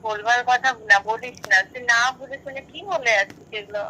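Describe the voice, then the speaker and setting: A young woman speaks in a high, bright, tense voice. She protests and pleads conversationally over a phone call, with faint vehicle noise behind her. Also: fairly quick. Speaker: prank call recipient, girlfriend.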